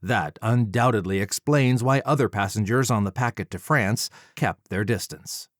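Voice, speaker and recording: A man narrating an audiobook from a script in a measured, formal way. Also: fairly low pitch, dry recording, studio quality. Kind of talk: scripted first-person narration.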